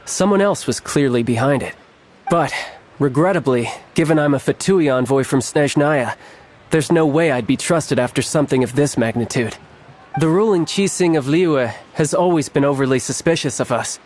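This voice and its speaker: An actor playing a suave, playful young envoy in a game cutscene, smooth, teasing and glib. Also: male voice, on the high side, moderate pace, a little mellow, slight background noise, close to an everyday voice. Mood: playful, reassuring.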